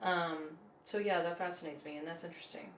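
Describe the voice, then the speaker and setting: A woman's voice: lowish voice, steady tone, fairly quick. A woman hosting a music podcast, chatting casually and affirming her guest often. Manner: affirming, frequent "mm-hmm" and "yeah". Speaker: podcast host. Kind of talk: casual interview chat. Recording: echoey room, faint noise.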